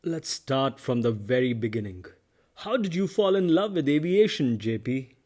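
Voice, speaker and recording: A man's voice: mid-range voice, sing-song, moderate pace, a little mellow. A man hosting a podcast, delivering polished, scripted introductions and questions. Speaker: podcast host. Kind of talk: podcast interview.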